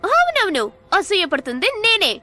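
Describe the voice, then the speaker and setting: A woman playing a spirited, teasing teenage girl racer in a lively cartoon dub. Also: extremely high pitch, moderate pace, soft background music, exaggerated voice.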